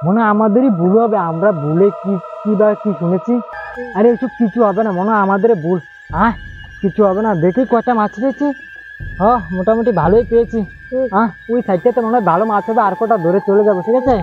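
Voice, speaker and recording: Male actor, eager village fisherman for comic horror skit: very high, exclaiming voice, muffled recording, music behind. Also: quick pace, natural voice. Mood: puzzled, uneasy. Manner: excited, repetitive exclaiming. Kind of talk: amateur Bengali horror-comedy skit acting. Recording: dull lo-fi sound with loud music.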